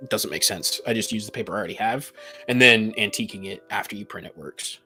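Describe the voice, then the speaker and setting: A man's voice: fairly low pitch, fast talker, pushed and bright, soft background music. A man co-hosting a casual group podcast chat, explaining and giving advice with dry teasing. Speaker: podcast co-host.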